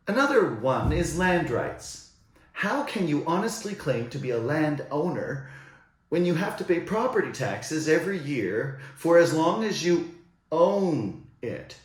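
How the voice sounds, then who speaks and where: A man's voice: fairly high pitch, roomy sound. A man from the United States, delivering an indignant, rhetorical scripted monologue. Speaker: commentator.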